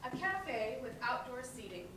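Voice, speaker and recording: A woman's voice: a little high, big pitch swings, unhurried. Very echoey woman reading stage directions. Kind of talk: scripted narration. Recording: very echoey, distant, loud room noise.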